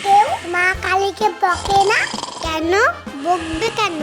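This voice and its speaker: A performer voicing a naive, bickering, curious little child in an animated story, with a childlike voice. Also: very high voice, takes it slowly. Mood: naive, matter-of-fact. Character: squabbling, curious little children, a brother and sister. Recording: loud children's music behind.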